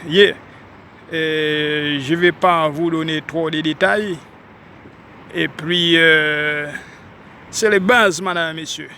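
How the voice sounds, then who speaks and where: A man from Haiti with a Haitian French accent, talking casually to viewers while walking outdoors on a city street and addressing them as ladies and gentlemen. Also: on the high side, fairly even intonation, slow, pauses often, slight background noise. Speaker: vlogger. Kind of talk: casual walking vlog monologue.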